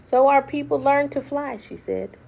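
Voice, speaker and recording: A woman reading a children's story aloud expressively, with deliberate dramatic phrasing and hushed, drawn-out words. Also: sits high, gravelly. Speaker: children's book reader. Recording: faint background noise.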